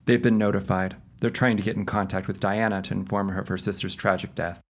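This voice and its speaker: A man plays a police detective in a radio drama. He is formal and measured, questioning patiently with a skeptical, official air. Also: deep voice, flat delivery. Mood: measured, probing. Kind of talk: audio drama acting.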